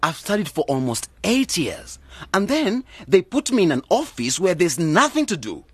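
A man's voice: sits high, huge pitch swings, normal speed, husky, no echo, full character voice. An actor playing an earnest, hesitant young man in his twenties, deferential and flustered, in a radio drama. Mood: defensive, earnest. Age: 20s. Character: earnest, flustered young graduate nephew defending his choices.

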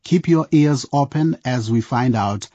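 A man's voice: mid-range voice, unhurried, mellow, no echo, dull audio. A man narrating a radio drama from a script.

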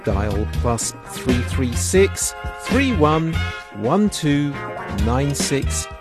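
A male radio announcer with a fairly high voice reads contact details very slowly. His pitch moves around a lot, with music clearly behind him. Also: very animated.

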